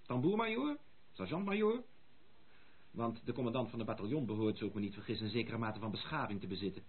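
An actor playing a self-assured, courteous but stubborn young gentleman in a radio drama, speaking with formal irony. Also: mid-range voice, lively intonation, fast talker, lightly acted. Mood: ironic, earnest. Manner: ironic, formal. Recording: tinny, noisy old radio recording.

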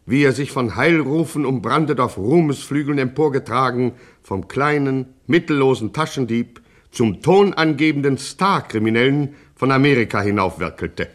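A man's voice: mid-range voice, expressive, moderate pace, natural voice. Male actor, bombastic storyteller, declamatory, mid-range voice, mock-heroic retro gangster radio satire. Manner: declamatory, grandiose.

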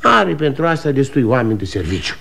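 A man's voice: mid-range voice, sing-song, vocal fry, pushed and bright, full character voice. A bossy aging salesman father. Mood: lecturing. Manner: bossy, lecturing, quick to anger. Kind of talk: 1960s radio drama acting. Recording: old studio radio recording.

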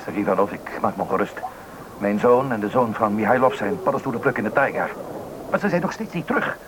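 A man's voice: fairly low pitch, animated, fast, close to an everyday voice. A man playing a worried village father searching for his missing son in a Dutch radio drama. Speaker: actor in a radio play. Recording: noisy old radio play recording.

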